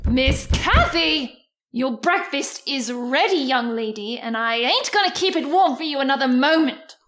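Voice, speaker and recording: Woman playing a high-voiced, fussy housekeeper. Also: lively intonation, unhurried, a bit bright. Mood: scolding. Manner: folksy, exclamatory speech. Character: fussy, scolding, excitable housekeeper in a radio drama. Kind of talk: old-time radio drama acting.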